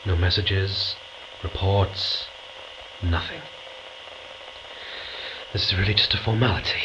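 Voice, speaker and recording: A man's voice: low-pitched, highs cut off, noisy background. A man playing a weary, strained mission commander in a science fiction audio drama, terse and authoritative. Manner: terse, authoritative, halting when reflective.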